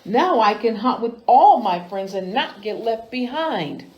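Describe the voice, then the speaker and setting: A woman's voice: a little high, big pitch swings, unhurried, echoey room, dull audio. A woman voicing a small, grateful male rabbit from a children's storybook in a sweet manner.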